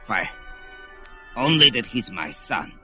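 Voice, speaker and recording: A man's voice: medium pitch, very animated, takes it slowly, sharp tone, heavily acted. A man playing an aged, proud sorcerer in an old radio drama, rambling and quavering, with music playing behind. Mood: worried. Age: older. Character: aged male sorcerer, fretful, proud, feuding with his son.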